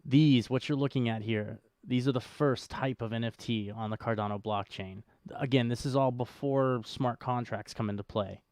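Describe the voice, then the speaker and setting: A man's voice: mid-range voice, steady tone, normal speed, hardly any pauses, a little mellow, no room sound. Conversational male podcast host, dry close sound. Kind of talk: unscripted live-stream explainer talk.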